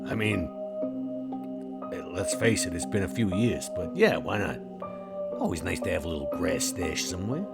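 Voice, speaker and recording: A man's voice: deep voice, lively intonation, moderate pace, vocal fry, pauses often, over loud music. A man chatting casually in improvised tabletop roleplay, with a laid-back, colloquial manner. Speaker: player in a tabletop roleplay podcast. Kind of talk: casual improvised in-character chat.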